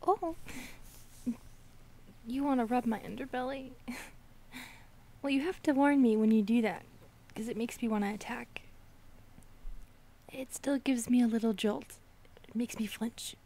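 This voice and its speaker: A woman playing an affectionate, playful, shy centipede monster girlfriend in an ASMR roleplay, soft, breathy and intimate. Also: a little high, unhurried, pauses often. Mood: tender, relaxed. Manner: intimate, playful, lightly teasing.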